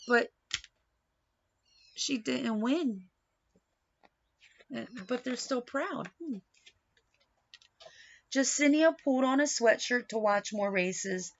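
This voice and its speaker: A woman reading a picture book aloud in an animated, dramatic manner, pausing for asides and questions to young listeners. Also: a little high, highs cut off. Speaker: read-aloud storyteller.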